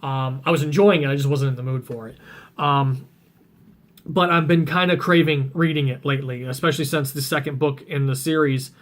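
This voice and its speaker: A man chatting casually about books he plans to read, rambling with lots of ums and restarts, his voice getting rough and scratchy. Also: medium pitch, steady tone, fairly quick. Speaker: book vlogger.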